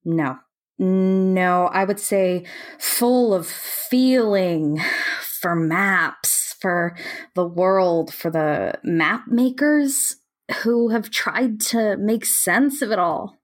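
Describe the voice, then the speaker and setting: Slow, earnest, dreamy woman played by an actress. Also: mid-range voice. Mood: earnest, wistful. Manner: reflective, deliberate, pausing between phrases. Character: earnest, dreamy woman mapmaker obsessed with tiny maps. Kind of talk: audio play monologue and dialogue.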